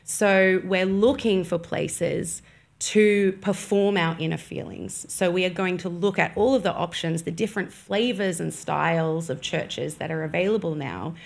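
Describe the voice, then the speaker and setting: Low, gravelly-voiced young woman guest. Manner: measured, explanatory, many "um" pauses. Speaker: guest on church music. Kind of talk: thoughtful interview answers. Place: studio set with armchairs. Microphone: boom-mounted podcast mic.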